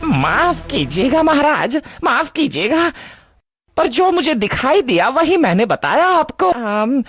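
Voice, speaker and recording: A woman voicing a scheming, pompous astrologer sage in a cartoon mythological drama, theatrical, drawn-out and insistently repetitive. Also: high voice, very animated, no echo, heavily acted. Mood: insistent, sly. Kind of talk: animated mythological drama dub. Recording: background music under dialogue.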